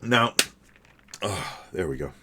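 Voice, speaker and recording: A man's voice: deep voice, expressive, slow pace, bright, forceful tone, a little hiss or hum. A middle-aged man with a cold, chatting to his livestream viewers as he paints, rambling and repeating words. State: sniffly from a cold. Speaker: hobby livestream host. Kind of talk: casual livestream narration while painting.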